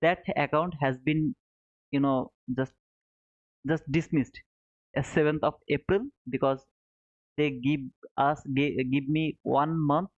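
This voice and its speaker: A man's voice: fairly high pitch, fairly even intonation, slow. A man hosts a live stream, casually answering viewers in short, repeated phrases with chummy, informal address. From Bangladesh. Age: 30s. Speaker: live trading stream host. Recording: narrow phone-like sound, roomy echo.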